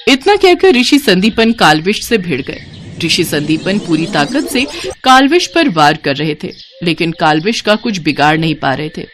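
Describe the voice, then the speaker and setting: A woman narrator reading a scripted bedtime tale in an expressive, dramatic storytelling style. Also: lowish voice, normal speed, slight background noise.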